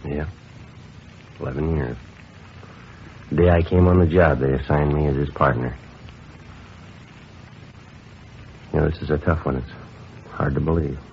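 An actor playing a stoic, grieving police sergeant in an old radio drama, speaking in a terse, understated, deadpan way. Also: male voice, a bass voice, normal speed, vocal fry, pauses often, a little hiss or hum. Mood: subdued. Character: stoic male police sergeant, grieving his longtime partner. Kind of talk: 1950s radio acting, clipped scripted narration. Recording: muffled old radio broadcast, music behind.

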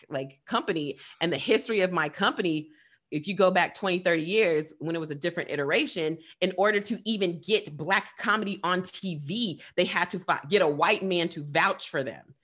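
A woman's voice: on the low side, pushed and bright. A woman speaking with passion and emphasis as a podcast co-host in casual discussion, with laughing asides.

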